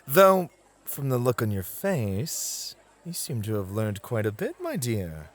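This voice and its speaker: A man's voice: medium pitch, wildly swooping pitch, takes it slowly, exaggerated voice. A man playing a charming, gallant club host in an ASMR roleplay, flirty and teasing in an effusive, courtly way. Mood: warm, teasing.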